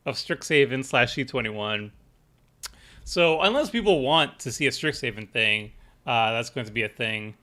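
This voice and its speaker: A man's voice: medium pitch, sharp tone. A young man casually chatting on a live stream, rambling with lots of fillers and restarts, sounding a little stuffy. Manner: rambling, many fillers and restarts, reads chat aloud. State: stuffy from allergies. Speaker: streamer building a deck with chat.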